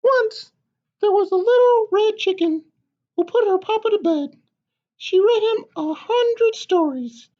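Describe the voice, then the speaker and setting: A woman voicing an eager, excitable little girl chicken in a storybook read-aloud, squeaky and pleading. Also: a little slow, muffled recording. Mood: storytelling. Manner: squeaky, exclaiming, childlike.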